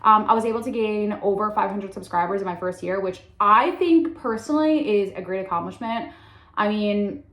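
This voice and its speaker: A young woman talks straight to camera in a loose, chatty way, leaning on "like" as she goes. Her voice sits at an everyday middle pitch. The room around her rings with a noticeable echo. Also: normal speed, a bit bright. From Long Island, New York. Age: 20s. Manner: chatty, heavy use of "like", candid. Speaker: content creator. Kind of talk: casual talking-to-camera introduction. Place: room in her sister's house.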